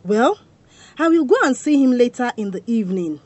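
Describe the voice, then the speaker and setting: A woman's voice: a little high, lively intonation, normal speed, darkish tone, dry recording. An actress with a Yoruba Nigerian accent playing an emotional widowed mother who pleads and wails in a radio drama. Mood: resigned.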